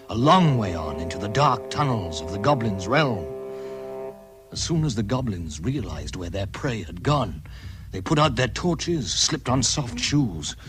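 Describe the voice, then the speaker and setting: A man giving measured, dramatic scripted narration of a fantasy tale. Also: mid-range voice, natural voice. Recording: music under the narration. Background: musical score.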